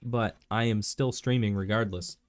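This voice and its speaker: A man streaming live and chatting casually while sick, clearing his throat, rambling with many fillers and restarts. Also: fairly low pitch, steady tone, moderate pace, no room sound.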